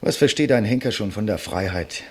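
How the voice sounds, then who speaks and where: A proud male writer defying his executioner. The speech is theatrical and eloquent with a sardonic edge, set fairly low and swinging widely in pitch. It has the manner of a 1950s German radio drama. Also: lively intonation, a little fast, breathy edge. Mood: dry, sardonic.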